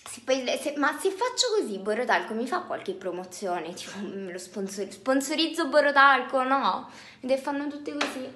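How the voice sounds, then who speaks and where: A woman's voice: high voice, expressive, moderate pace, background noise. A woman in her twenties with an Italian accent, giving a playful, mock-glamorous tour of her small apartment in a mix of clumsy English and Italian, filmed on a phone at arm's length indoors. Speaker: vlogger giving a parody house tour. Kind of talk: comic home tour vlog. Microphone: phone held at arm's length.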